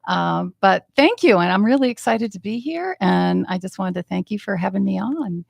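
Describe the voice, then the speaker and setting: A woman's voice: mid-range voice, expressive, moderate pace, creaky, no room sound. A woman from New Hampshire, a life coach guest on a radio show, upbeat and laughing often. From New Hampshire, USA. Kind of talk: radio interview. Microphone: studio microphone.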